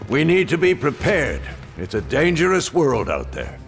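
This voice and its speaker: An actor playing a middle-aged, stern and scheming American admiral in a video game cutscene, speaking in calculated speeches. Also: male voice, on the high side, wildly swooping pitch, moderate pace, creaky, exaggerated voice. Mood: official, measured. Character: male middle-aged admiral, scheming, authoritative, ambitious father. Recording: music behind the voice.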